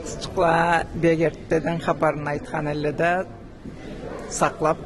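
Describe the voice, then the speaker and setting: A man giving a slow, halting answer in an interview, pausing to search for words. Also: fairly high pitch. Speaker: viewer interviewed after screening. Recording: steady background noise.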